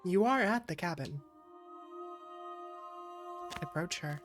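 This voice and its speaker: Female streamer, slow and deliberate pacing, lowish voice, reading story text and talking to chat over game music. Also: expressive. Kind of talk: reading visual novel narration aloud, with casual asides to viewers.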